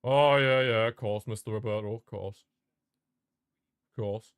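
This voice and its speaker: A man's voice: lowish voice, unhurried, clear, resonant tone, no room sound. A man reacting live to a football match as a streamer, ranting and calling out to his chat. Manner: passionate, frustrated fan outbursts and rants; talks to live chat viewers.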